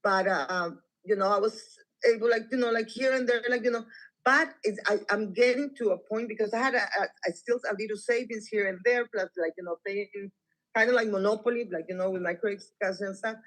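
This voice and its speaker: A man in his sixties from Costa Rica, a caller explaining his situation in a halting, rambling, repetitive and informal way. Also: high-pitched, a bit bright, low-quality recording. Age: 60s. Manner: repetitive, rambling, hesitant speech. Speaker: caller seeking financial help. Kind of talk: halting, informal personal account.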